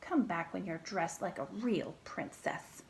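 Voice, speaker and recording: A woman's voice: lowish voice, animated, vocal fry, a little hiss or hum. A woman doing a snooty, vain prince. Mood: disdainful. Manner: slow, haughty and disdainful.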